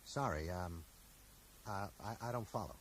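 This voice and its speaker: A man's voice: deep voice, expressive, a little slow. An actor playing a reserved, polite man who asks tactful, hesitant questions in a radio drama. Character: reserved, polite man quietly probing a mystery. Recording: faint noise, 1980s radio play.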